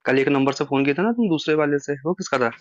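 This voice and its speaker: A man's voice: a little high, expressive, fast, no room sound, dull audio. A man in a casual private phone chat, explaining and retelling conversations on a recorded call. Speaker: phone caller.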